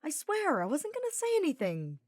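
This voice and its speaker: A woman's voice: sits high, wildly swooping pitch, brisk, no echo, pitched above the performer's own voice. Woman voicing a cheeky, teasing young male knight. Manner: teasing, slangy, casual.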